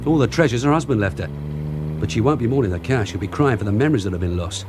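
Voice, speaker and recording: A male actor plays a brisk British detective sergeant in a radio drama. His pitch swings widely as he gives clipped, authoritative orders, over clear background noise with vehicle sounds. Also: animated, lightly acted. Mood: matter-of-fact.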